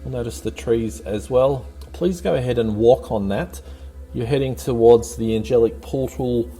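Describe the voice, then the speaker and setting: A man leading a guided meditation, giving calm, gently encouraging, unhurried instructions in a soothing way. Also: mid-range voice, husky, faint music behind.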